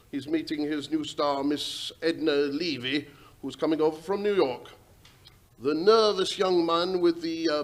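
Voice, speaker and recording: A man's voice: on the high side, close to an everyday voice. Man as hesitant, earnest official. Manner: hesitant, stammering while reporting details. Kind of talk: old-time radio drama acting.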